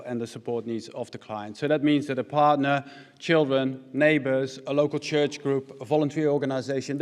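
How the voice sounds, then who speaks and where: A middle-aged man with a Dutch accent talks through a conference presentation at podium microphones. His voice sits at a middle pitch and he fills pauses with ums and uhs. A long hall reverb trails each phrase. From Netherlands. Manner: explanatory, frequent ums and uhs. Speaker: conference speaker. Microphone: stand mics at a podium.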